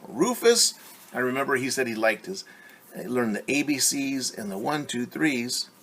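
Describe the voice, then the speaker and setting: A man's voice: medium pitch, lively intonation, normal speed, fairly bright tone, breathy edge. A man teaching young children at story time, reading aloud and explaining in simple, encouraging words with questions to his listeners.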